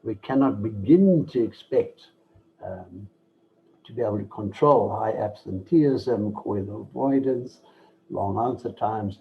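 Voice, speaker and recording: A man giving an online masterclass from slides, measured and listing points with pauses and fillers, over a computer mic without a headset. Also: vocal fry. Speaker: masterclass presenter. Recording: dull low-fi online call audio with faint noise.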